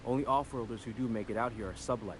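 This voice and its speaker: A man's voice: medium pitch, fast talker, close to an everyday voice. An actor playing an eager, folksy young male town greeter who chats freely and is keen to please. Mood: friendly. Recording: game audio with background ambience noise.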